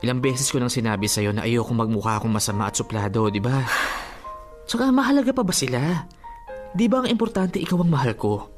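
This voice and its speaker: A young boyfriend played by a man in a radio drama, coaxing and affectionate as he defends himself. His voice sits at a middle pitch, with faint music underneath. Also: animated, brisk, close to an everyday voice. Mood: defensive, pleading.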